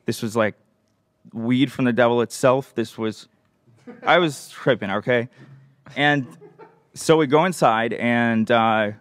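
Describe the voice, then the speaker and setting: A man's voice: medium pitch, unhurried, long pauses, dry recording. A young man casually telling a self-deprecating personal story on a podcast, in a sheepish way. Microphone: close studio podcast microphone.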